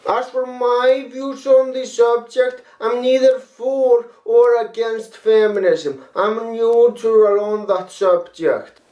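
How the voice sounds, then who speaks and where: A man's voice: extremely high pitch, steady tone, takes it slowly, hardly any pauses, darkish tone, reverberant, muffled recording. A man reading a prepared critique in a blunt, profane, lecturing manner. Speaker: video critic. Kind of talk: reading a prepared response video.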